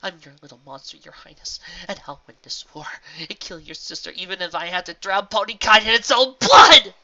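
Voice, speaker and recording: Young pony soldier girl, shrill and shouty, bright sharp tone, as a reader puts her on. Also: expressive, fairly quick, lo-fi sound. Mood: fierce. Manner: shrill, desperate, often shouting. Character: fierce young mare soldier, fanatically loyal.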